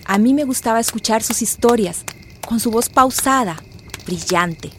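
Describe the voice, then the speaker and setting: A woman's voice: fairly high pitch, unhurried, fairly bright tone, slight background noise. A woman narrating a radio drama as a grown villager fondly remembering childhood, tender and reflective. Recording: music behind the voice.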